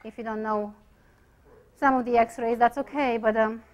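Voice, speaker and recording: A woman with a Bulgarian accent speaks to a room of students in a relaxed, unscripted lecture. Her voice sits slightly high, and she often hesitates, filling gaps with "um" and restarting her sentences. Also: normal speed, muffled recording. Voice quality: hesitant, many fillers and restarts. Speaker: chiropractic radiologist lecturer.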